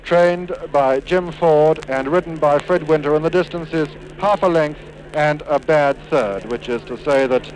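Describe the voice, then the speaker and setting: A man's voice: fairly high pitch, measured pace, darkish tone. A British man giving formal, precise live horse race commentary in a 1950s broadcast style. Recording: noisy 1950s 78 rpm record.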